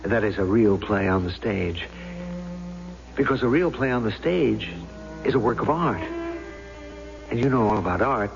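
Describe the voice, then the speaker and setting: A man's voice: on the low side, lively intonation, moderate pace, gravelly, pauses often. An actor playing a doubtful, theatrical man who is a reluctant juror, in a brooding, questioning radio drama style. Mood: troubled, insistent. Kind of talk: 1970s radio drama acting. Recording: dull old radio recording with music.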